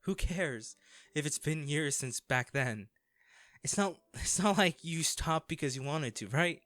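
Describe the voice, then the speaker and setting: Slow, hesitant anxious young man acted by a man. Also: a little high, expressive, a bit bright, no echo. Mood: upset, emotional. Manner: hesitant, halting, sighing. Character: anxious, self-deprecating young man, caring old crush. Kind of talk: audio roleplay acting, intimate monologue to listener.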